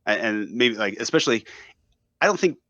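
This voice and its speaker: A man's voice: medium pitch, lively intonation, brisk, sharp tone, no room sound, lo-fi sound. A man who is a guest on a casual podcast talk, speaking hesitantly and searching for words, often repeating himself. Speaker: radio host, podcast guest.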